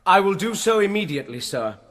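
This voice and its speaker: An actor playing a defiant herald, formally proclaiming in theatrical radio drama style in a reverberant hall. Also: male voice, high-pitched, sing-song, a little fast, a bit bright, a little hiss or hum.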